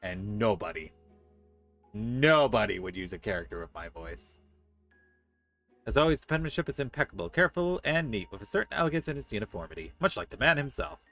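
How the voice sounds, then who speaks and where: Fast-talking man streaming a video game. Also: on the low side, big pitch swings. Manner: joking asides between read passages. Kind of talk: reading game text aloud with casual commentary. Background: soft game music.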